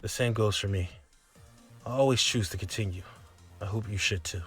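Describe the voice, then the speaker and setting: A man playing a steady, supportive, reserved young teammate with a husky voice in a visual novel. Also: fairly low pitch, normal speed, soft background music. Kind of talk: visual novel dialogue acting.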